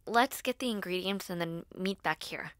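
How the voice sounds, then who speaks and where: A woman acting an earnest, nervous school-age girl who dabbles in spells, speaking haltingly and intimately to the listener in an audio roleplay. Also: fairly low pitch, dry recording, studio quality, lightly acted. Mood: busy, casual. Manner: hesitant, halting, soft-spoken asides.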